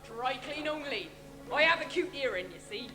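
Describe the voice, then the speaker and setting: A woman's voice: high-pitched, expressive, moderate pace, echoey room, music in the background. A woman playing a cocky blind pirate treasure hunter in a stage pantomime, with exaggerated pirate talk. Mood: sly, smug.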